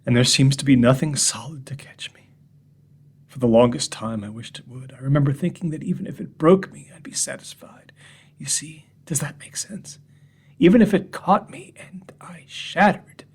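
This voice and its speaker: Male reader as unravelling, bitter storyteller; husky, mid-pitched, swings between loud and whispered. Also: moderate pace. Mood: haunted. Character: shaken man addressing a room of gentlemen. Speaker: narrator. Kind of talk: first-person horror story reading.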